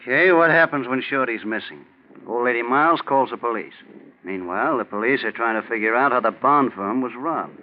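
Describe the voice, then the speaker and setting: An actor playing a confident, cold-blooded crook, insistent and hard-boiled, in a 1940s radio drama. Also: male voice, mid-range voice, expressive, a little fast, close to an everyday voice. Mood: smug, scheming. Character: cocky, cold-blooded male crook, scheming ringleader. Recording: dull 1940s radio recording.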